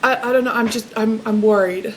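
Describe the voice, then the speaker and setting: A woman playing an anxious, loving mother who speaks haltingly in a film script table read. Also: fairly high pitch, fairly even intonation, a little hiss or hum, natural voice. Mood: worried. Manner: halting, repeating words.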